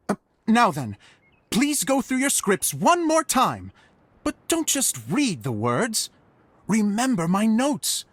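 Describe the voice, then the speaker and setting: An actor playing an eager, theatrical, self-styled stage director in a video game, effusive and chatty. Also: male voice, sits high, fairly bright tone, husky, no echo, full character voice. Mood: enthusiastic. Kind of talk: video game cutscene acting.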